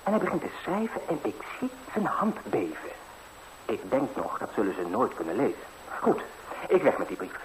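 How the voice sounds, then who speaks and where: Eager lad, very lively intonation. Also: male voice, mid-range voice, normal speed. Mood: chatty, eager. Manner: breezy, colloquial, eager storytelling. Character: cheerful, fearless, naive young lad, lucky fairy-tale hero. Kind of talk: 1960s Dutch radio fairy-tale acting. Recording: slightly noisy old 1960s radio recording.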